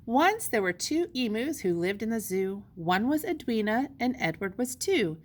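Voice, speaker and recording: A woman's voice: on the high side, big pitch swings, normal speed. A woman teacher giving step-by-step instructions and reading a storybook aloud expressively to young pupils. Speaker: elementary school teacher.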